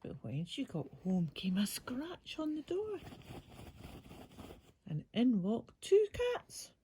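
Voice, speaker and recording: A woman reading a rhyming picture book aloud as a bedtime story, with a breathy voice and expressive, unhurried emphasis. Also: fairly high pitch, a little hiss or hum.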